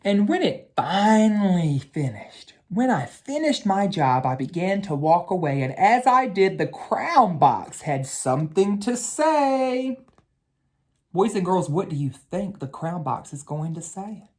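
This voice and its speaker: A man's voice: high voice, very animated, measured pace, a little mellow. Man reading a bedtime story to children. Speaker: educator reading aloud to children. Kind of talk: bedtime storybook read-aloud and talk to children.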